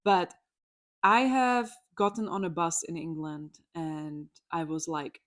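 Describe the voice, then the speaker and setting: Czech-accented woman, slightly low voice. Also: lively intonation, slow. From Czech Republic. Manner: chatty, candid, with fillers and restarts. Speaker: electrologist. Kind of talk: personal vlog storytelling.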